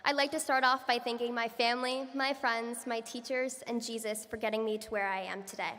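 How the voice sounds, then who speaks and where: A young woman delivers a graduation speech with a clear, bright voice set a little high. Her delivery is earnest and measured, and long reverb rings around her in a large hall. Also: fairly even intonation. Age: young adult. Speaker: student speaker. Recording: echoey hall from far off.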